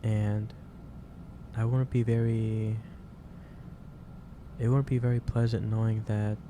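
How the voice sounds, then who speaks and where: A man playing a gentle, caring office boss in a soft-spoken ASMR roleplay, speaking haltingly and repeating himself. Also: fairly low pitch, little pitch movement, background noise. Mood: gently concerned. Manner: hesitant, halting, repeats phrases. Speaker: roleplay performer.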